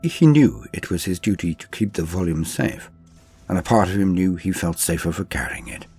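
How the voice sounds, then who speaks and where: A man narrates a grim war tale in a very low voice with a husky grain. His delivery is solemn and theatrical, as in a dramatic audiobook reading. Faint music plays underneath. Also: expressive, normal speed, a little mellow. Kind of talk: dramatic reading of a science fiction war story.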